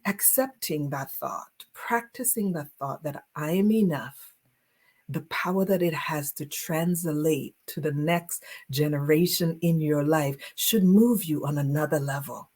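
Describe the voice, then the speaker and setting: Encouraging woman guest, lowish voice, quick laughs. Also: darkish tone, slightly rough. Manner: encouraging, laughs often, speaks in affirmations. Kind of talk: casual faith-based conversation.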